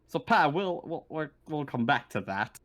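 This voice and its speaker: A man working as game master, improvising narration of a tabletop roleplaying game in a halting way, often stammering and repeating words. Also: a little high, very animated, fairly bright tone.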